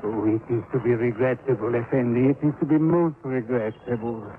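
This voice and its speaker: A man's voice: medium pitch, brisk, close to an everyday voice. An actor playing a humble, obsequious foreign sailor who dotes on his birds, in a 1950s radio drama with accented, effusive, repetitive speech. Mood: fussing, apologetic. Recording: noisy, tinny 1950s radio recording.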